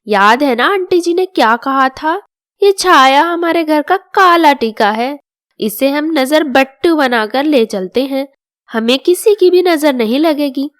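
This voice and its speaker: A woman's voice: sits high, animated, a little slow, dry recording, studio quality. A woman voicing a vain, insolent young daughter-in-law in a family moral story, haughty and cheeky. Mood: smug.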